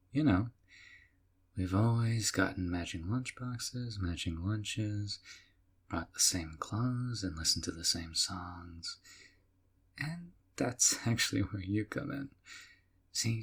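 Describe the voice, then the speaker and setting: A young man voicing a doting, obsessive male kidnapper who talks softly and coaxingly, often in a whisper, with a husky voice. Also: fairly low pitch, heavily acted. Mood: fond. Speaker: voice actor. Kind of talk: audio roleplay.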